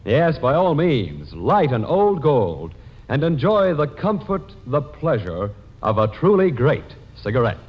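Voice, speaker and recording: Animated American 1940s radio announcer man. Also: medium pitch, a little slow, husky, muffled recording. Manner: polished persuasive salesman delivery. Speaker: commercial announcer. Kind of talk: 1940s radio advertising read. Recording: reverberant, band-limited, noisy 1940s radio.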